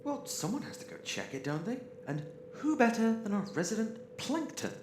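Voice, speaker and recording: A bossy, needling spaceman, acted by a man, in a roomy, echoing recording with faint noise. His voice swings widely in pitch as he badgers sarcastically, in sci-fi audio drama style. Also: big pitch swings, normal speed. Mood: sarcastic, needling. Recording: echoey room with faint noise.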